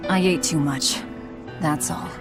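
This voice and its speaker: An actress voicing a hardened, vengeful young woman struggling with guilt in a game cutscene. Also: unhurried. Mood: flat. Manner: low and flat, then shouting in anguish. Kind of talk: video game voice acting. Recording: game audio over music score.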